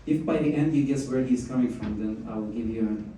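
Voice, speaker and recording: A man's voice: medium pitch, fairly quick. A man hosting a live meetup, chatting casually and coaxing the audience to join in. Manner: animated, chatty, coaxing the crowd. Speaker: event host. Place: event room with audience. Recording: echoey room heard from far off, faint noise.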